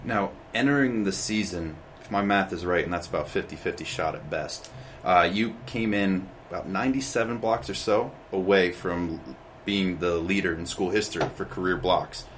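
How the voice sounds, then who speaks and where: Deep-voiced man hosting a sports podcast. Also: normal speed, a bit bright, slight background noise. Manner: friendly, prepared questions, occasional restarts. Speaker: podcast host. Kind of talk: sports interview.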